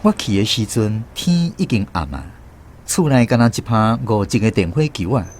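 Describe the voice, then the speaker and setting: A man's voice: fairly low pitch, normal speed, a little hiss or hum. A man with a Taiwanese accent narrating a radio drama as a grown grandson fondly recalling his grandfather. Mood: reflective. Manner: expressive, reminiscing storytelling.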